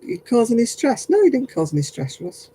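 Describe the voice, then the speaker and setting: A man's voice: very high-pitched, wildly swooping pitch, fairly quick, dark tone, a little hiss or hum. A man chatting casually with viewers while crocheting on a livestream, self-mocking and laughing often. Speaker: craft livestream host.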